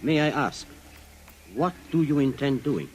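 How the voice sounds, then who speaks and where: An actor playing a polite, formal and anxious male client in an old-time radio drama, with a husky voice. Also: medium pitch, animated, a little slow, slight background noise, natural voice. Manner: formal, courteous.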